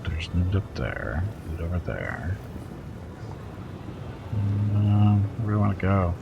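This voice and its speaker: A man's voice: sits low, big pitch swings, slow pace, gravelly, phone-quality sound, very noisy. A man with a stuffy head cold chatting casually with viewers while playing through a video game. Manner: chatty, wry, talks to viewers in chat. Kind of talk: casual livestream chat and reading game text aloud.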